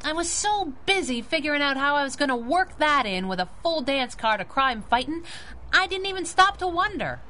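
An actress playing a feisty, protective young crimefighting sidekick with slangy, wisecracking tough talk in a radio drama. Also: female voice, sits high, big pitch swings, normal speed, sharp tone, exaggerated voice. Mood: worried, upset. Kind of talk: old-time radio drama acting.

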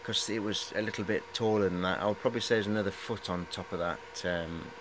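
A man in his forties with a fairly low voice gives a casual, rambling show-and-tell. He is matey and hesitates often as he goes. It is recorded on a handheld camera indoors, with some noise behind him. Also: fairly even intonation, quick pace. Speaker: hobbyist showing high-voltage equipment. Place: cluttered indoor workshop. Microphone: camera at arm's length.

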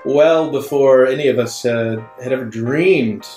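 A man's voice: mid-range voice, measured pace, warm tone, natural voice. A man voicing a fawning, butler-like shop clerk in his thirties for a tabletop game, formal and stammering. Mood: starstruck. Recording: roomy sound with faint music.

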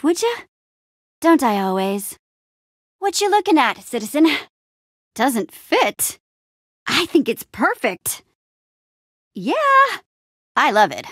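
A woman playing an upbeat young adventurer and town hero in a video game, friendly, casual, expressive and quippy. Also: on the high side, no echo, clean studio sound, full character voice. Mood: reassuring, then unsure, puzzled. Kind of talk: video game character acting.

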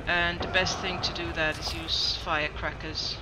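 A woman's voice: low voice, flat delivery, moderate pace, noisy background. A woman giving casual gameplay commentary as she talks through a video game walkthrough. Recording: loud game music behind. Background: loud game music.

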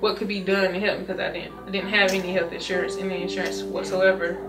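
A woman's voice: on the low side, level tone, fast. A young woman giving an earnest personal testimonial as a patient, repeating words for emphasis. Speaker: clinic patient. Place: small wood-paneled room indoors. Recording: roomy, distant mic, music underneath. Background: background music.

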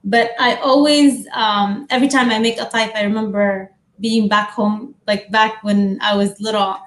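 A young adult woman from the Middle East, a baker, chatting warmly about her desserts over a video call from her home kitchen, with many fillers. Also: mid-range voice, fairly even intonation, moderate pace, echoey room. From Middle East, grew up in Amman. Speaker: guest baker. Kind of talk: casual chat and cooking demo.

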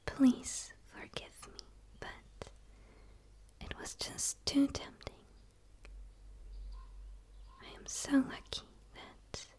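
An eager, curious female alien, acted by a woman whispers softly in an ASMR roleplay. Her voice sits high and stays very even in pitch. She is soothing and breathy, right up close to the mic. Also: heavily acted. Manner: soft, slow, soothing, breathy delivery. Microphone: close microphone, speaking straight to camera.

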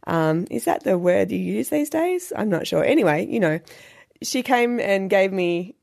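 Husky, croaky Australian woman. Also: medium pitch, lively intonation, unhurried, darkish tone. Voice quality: slightly nasal. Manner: chatty, self-deprecating, laughs often. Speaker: podcast host. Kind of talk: solo podcast monologue.

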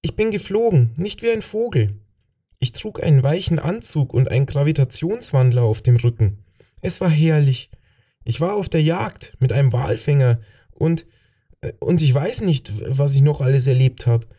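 Man voicing an exhausted, high-pitched, awestruck visionary. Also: lively intonation, mellow. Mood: rapt, faltering. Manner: halting, wonderstruck. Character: exhausted man recounting wondrous visions in awe.

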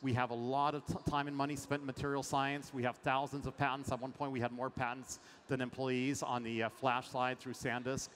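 A man's voice: mid-range voice, fairly quick, few pauses, a little hiss or hum. Middle-aged French man on a conference stage. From France. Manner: energetic, many fillers. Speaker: venture investor guest. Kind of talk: panel fireside chat. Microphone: headset mic. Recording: long hall reverb, faint ambience.

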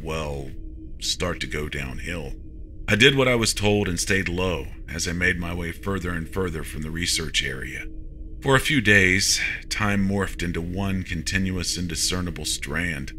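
A man's voice: low-pitched, bright, forceful tone. A man reading a first-person science fiction horror story as a scripted narration.

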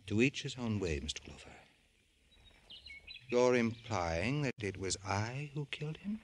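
A man's voice: medium pitch, expressive, a little slow, lightly acted. A man playing a bitter, cultured doctor in an old radio drama, speaking in a precise, sardonic, theatrical way. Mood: subdued, wry. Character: bitter, cultured male doctor, resentful brother of a rich man. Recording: old radio recording with faint noise.

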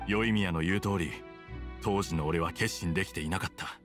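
An actor playing a stern, conflicted soldier with hidden kindness, speaking in a measured, blunt way in a video game dub. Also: male voice, low voice, soft background music, lightly acted. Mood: wry, resigned. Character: stern, conflicted male soldier, weary but secretly kind. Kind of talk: Japanese game dub acting.

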